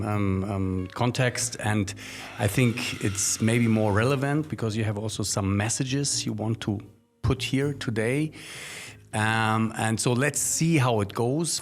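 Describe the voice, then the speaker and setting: A man with a mid-range voice and a German accent, hosting a podcast in English. He speaks casually and hesitantly, stopping for fillers and repeating himself. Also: medium pitch. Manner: hesitant, many ums and restarts. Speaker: podcast host. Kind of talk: casual conversational interview.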